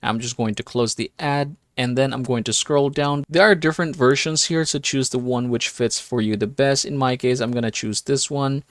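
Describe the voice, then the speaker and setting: A man narrating a step-by-step software tutorial in an instructional, guiding way. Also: medium pitch, steady tone, moderate pace, hardly any pauses, no room sound, clean studio sound.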